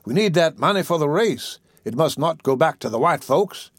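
A man plays a grasping swindler from a storybook. He sounds falsely kind and ingratiating, with small hesitations. The voice is fairly high and recorded very dry. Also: expressive, normal speed, no echo. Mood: eager, anxious. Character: smooth-talking, greedy male swindler feigning kindness.

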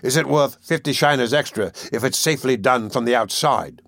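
Man doing a gruff, surly burglar. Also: brisk, a bit bright, no echo, clean studio sound. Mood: blunt, businesslike. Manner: blunt, scornful, rough lower-class slang. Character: gruff, surly male burglar with short temper. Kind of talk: audiobook character voice.

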